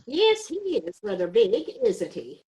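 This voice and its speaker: A woman's voice: medium pitch, huge pitch swings, unhurried, a little mellow. A woman playing an inquisitive, shrewd small-town doctor in an amateur readers theater mystery. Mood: matter-of-fact.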